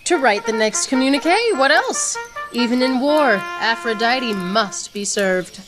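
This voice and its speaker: A woman's voice: a little high, animated, measured pace, over music. A woman playing a proud, witty soldier-poet with a wry, poetic way of speaking, in radio theatre. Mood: wry, jaunty.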